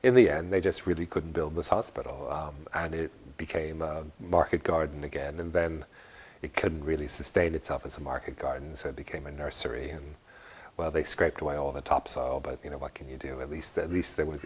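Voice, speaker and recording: Very deep-voiced man, deliberate and wry. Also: fairly even intonation, slightly rough. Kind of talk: unscripted interview commentary.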